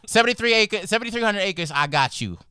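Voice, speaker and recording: Animated young man, loud joking banter. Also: fast, clean tone, no room sound, studio quality. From Puerto Rican and Dominican heritage. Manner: loud, animated joking with sudden jumps in pitch. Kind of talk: casual podcast banter.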